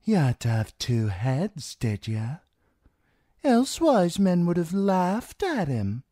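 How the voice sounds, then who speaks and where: A man voicing a mocking, menacing, bawdy washerwoman in an audiobook, with drawn-out colloquial taunts. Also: on the high side, sing-song, warm tone, no echo, clean studio sound, voice pushed up, full character voice. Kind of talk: dramatic audiobook character acting.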